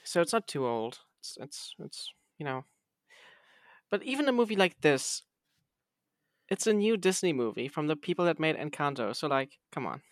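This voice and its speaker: Podcast host, man with a fairly high voice, meandering and halting, close dry sound. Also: sing-song, no echo. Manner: rambling, hesitant storyteller with fillers and restarts. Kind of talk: casual chat.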